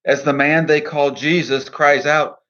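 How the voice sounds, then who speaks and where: A man speaking in a measured, earnest, deliberate way, in the style of a devotional poetry reading and reflection. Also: a little high, little pitch movement, a bit bright, highs cut off. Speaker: minister and poet reading own poem.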